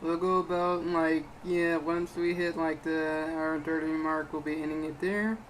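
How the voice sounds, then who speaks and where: A man's voice: fairly high pitch, level tone, moderate pace, a little hiss or hum, low-quality recording. A man hosting a livestream, reading out viewer questions in a loose, filler-heavy way. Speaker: stream host.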